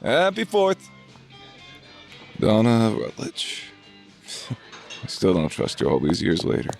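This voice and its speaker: A man's voice: lowish voice, huge pitch swings, slow, creaky, soft background music, full character voice. An actor playing an affable male investigative journalist in an audio drama, talking with playful ease. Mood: distrustful.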